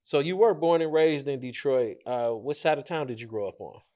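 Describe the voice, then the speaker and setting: A man hosting a podcast, reading a scripted introduction and then asking relaxed interview questions. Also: medium pitch, brisk. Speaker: podcast host.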